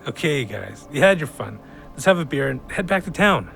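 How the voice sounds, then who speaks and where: A sarcastic killjoy in an audio play. He grumbles and mocks in a mid-pitched, gravelly voice over prominent music. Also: sing-song, moderate pace, fairly bright tone, exaggerated voice. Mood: grumbling. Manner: mocking, grumbling. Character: sarcastic, sober killjoy cousin. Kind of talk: audio drama acting. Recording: music behind the voice.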